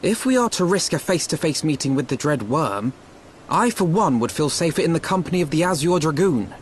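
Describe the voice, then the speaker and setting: An actor playing an earnest, courteous young diplomat in a fantasy game, with polite, eloquent, archaic speech. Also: male voice, on the high side, big pitch swings, fairly quick, a little hiss or hum. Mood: firm. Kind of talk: scripted fantasy game dialogue, archaic formal English.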